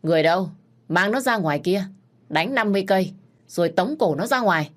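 A woman's voice: lowish voice, animated, moderate pace. A woman voicing the stern young master of a wealthy house, giving harsh, commanding orders.

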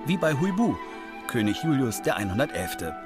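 A man's voice: mid-range voice, sing-song, normal speed. A man reading a scripted documentary voice-over as a reporter. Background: music.

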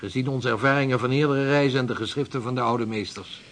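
A man's voice: mid-range voice, fast talker, a bit bright, natural voice. A man acting in a historical radio play as a devout, determined Arctic explorer, speaking formally and with authority. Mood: commanding, impatient. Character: driven, devout 16th-century male Dutch Arctic explorer.

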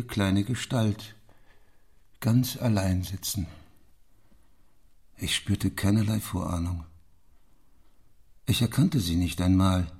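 An actor in a German radio play playing a bitter, brooding middle-aged English gentleman who confesses his past in an intense, deliberate way. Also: fairly low pitch. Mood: hushed, tender. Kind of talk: German radio play acting, dramatic monologue.

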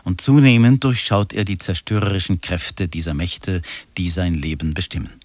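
Male announcer, very low voice, dry studio sound, measured formal delivery. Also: steady tone, a little mellow, no echo. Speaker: radio announcer. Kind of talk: formal broadcast introduction.

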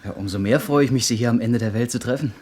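A man's voice: mid-range voice, fast talker, darkish tone, natural voice. An actor playing a cocky, hot-headed young Irish cabin boy in a radio play, brash and eager. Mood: smitten, earnest.

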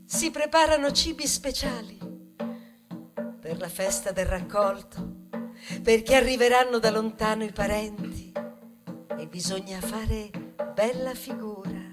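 Actress as a slow, dreamy female corn cob. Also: mid-range voice, natural voice. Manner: lyrical, savoring words with long pauses. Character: female ripe corn cob, dreamy, proud, tender, awaiting harvest. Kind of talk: poetic theatrical monologue, radio ballad.

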